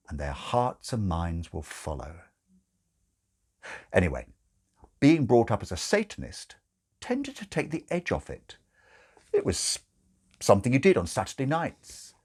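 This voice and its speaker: A man's voice: mid-range voice, darkish tone. A man narrating a comic audiobook in a wry, theatrical storytelling manner.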